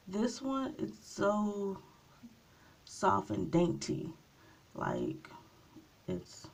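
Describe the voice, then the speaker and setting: A woman vlogger talks at an easy, slow pace while she does her makeup. Her voice is gravelly and a little raspy from a coming cold, and her chat is loose and meandering, with little pauses. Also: medium pitch, darkish tone. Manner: unhurried, chatty, trailing off with small pauses. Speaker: beauty vlogger. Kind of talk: casual makeup tutorial chat.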